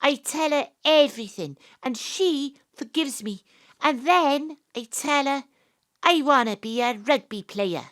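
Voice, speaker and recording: A woman's voice: high-pitched, lively intonation, unhurried, a bit bright. An actress playing an earnest, troubled young Welsh woman who becomes a rugby captain, in an audio drama. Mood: earnest, wonderstruck. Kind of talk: audio drama monologue and dialogue.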